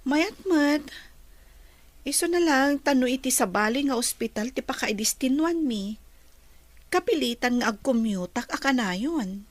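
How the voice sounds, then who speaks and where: An earnest young Filipino woman from a radio drama, acted by a woman. Her pitch is very high, and the sound is dry, with no room around it. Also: expressive, a little slow, no room sound. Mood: friendly. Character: earnest young woman, hardworking hospital intern.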